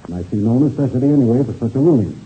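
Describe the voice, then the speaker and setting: An actor playing a formal, firm air-race official who speaks precisely and with authority in a 1930s radio serial. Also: male voice, medium pitch, animated, very fast, breathy edge. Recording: muffled, hissy old radio recording.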